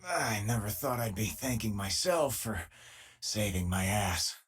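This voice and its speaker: Wisecracking space companion in a video game, easygoing and wry, pitch jumps around a lot, bit husky. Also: male voice, lively intonation, measured pace. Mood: amused, casual. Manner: casual, wry, conversational. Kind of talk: video game voice acting, conversational companion lines and combat callouts.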